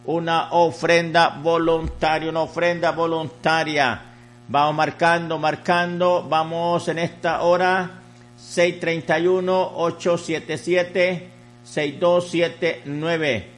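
Higher-voiced man making a repetitive radio appeal. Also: level tone, slow. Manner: repetitive, chanting urging, reciting phone numbers. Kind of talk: live radio fundraising appeal.

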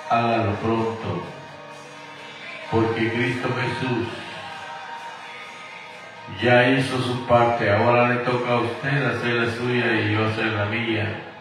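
Slow preaching man, echoey and distant. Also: medium pitch, little pitch movement. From Guatemala. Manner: slow, drawn-out preaching cadence. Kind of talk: sermon with greetings to listeners. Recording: echoey, distant, with music behind. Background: instrumental music.

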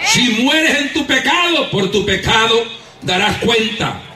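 Honduran preacher guy, super high voice, shouting and laughing, roomy echo, mic kinda far. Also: sing-song, a little slow. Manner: emphatic, often laughing, shouts out calls for praise and applause. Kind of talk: fervent evangelical sermon. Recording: echoey church hall miked from a distance.

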